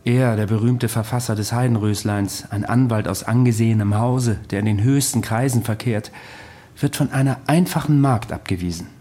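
An actor playing a wry, ancient immortal man recounting his past in a measured way, in a radio drama. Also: fairly low pitch, mellow, close to an everyday voice. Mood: amused narration.

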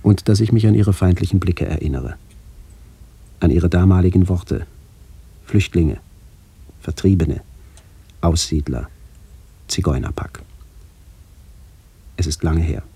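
An actor playing a brooding, melancholy middle-aged man who narrates a literary radio play in a measured, introspective way. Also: low-pitched, creaky, warm tone, slightly rough, dry recording, heavily acted. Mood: somber remembering. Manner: measured, introspective, poetic delivery.

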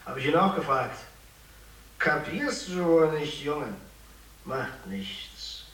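A casual gossip in a radio play, with a slightly high voice and an offhand, slow delivery. He sounds set back from the microphone in a roomy, echoing space. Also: very animated, fairly bright tone, heavily acted. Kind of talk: casual dialogue in a radio drama. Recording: roomy echo, distant from microphone.

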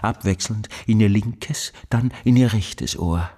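A man's voice: lowish voice, darkish tone. An actor playing an obsessive, tyrannical man fanatically studying hearing in a radio monologue, with intense, emphatic delivery. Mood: matter-of-fact, insistent. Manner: intense, emphatic, drawn-out delivery of key words. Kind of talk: dramatic literary radio monologue.

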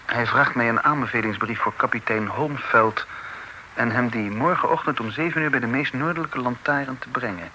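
A noisy old radio play recording of an earnest young gentleman. He speaks in a mid-pitched male voice, politely and formally, and with some insistence. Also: normal speed. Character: earnest young gentleman, son of the chief sheriff. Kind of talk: Dutch radio drama acting.

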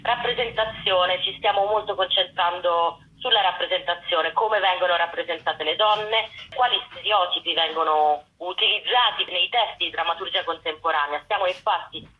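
A woman's voice: lowish voice, normal speed, few pauses. A woman explaining in an articulate, formal way as a radio interviewee. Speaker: actress and activist, interviewee. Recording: muffled, lo-fi phone line.

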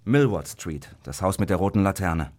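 An actor playing a wary, greedy Victorian opium addict confiding secrets in a radio crime drama, with theatrical, deliberate emphasis. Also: male voice, low voice, lively intonation, darkish tone.

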